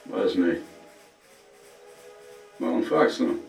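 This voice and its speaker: Radio drama crook, male: gravelly, mid-pitched, cynical and calculating, echoey with music underneath. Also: lively intonation, drawn-out words, heavily acted. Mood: scheming, guarded. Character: calculating, shady schemer, cool and cynical man. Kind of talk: German radio play acting. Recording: distant, echoey pickup with music behind.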